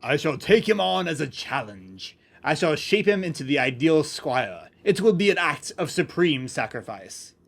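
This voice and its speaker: A man's voice: on the high side, sing-song, moderate pace, hardly any pauses. A man voicing a vain, boastful knight in an amateur fantasy story reading, with haughty, formal speech. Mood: grandiose. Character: pompous, boastful male knight, theatrical and vain.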